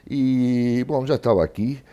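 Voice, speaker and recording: A man's voice: mid-range voice, unhurried, mellow, smooth, dull audio. An older man with an Argentine accent, a native Spanish speaker, talking discursively as an interview guest and often checking in with a short tag question. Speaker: guest historian and professor. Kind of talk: conversational interview, explaining history.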